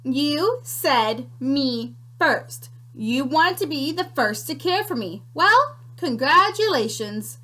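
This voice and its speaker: A woman voicing a small, bossy female sand creature in a storybook read-aloud, speaking slowly with a crackly voice. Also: high voice, expressive, pushed and bright, exaggerated voice. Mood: smug. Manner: slow and drawn out.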